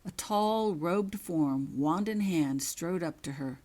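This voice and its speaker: A woman reading her own fiction as a scripted, dramatic story narration, in measured, suspenseful short phrases. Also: lowish voice, darkish tone.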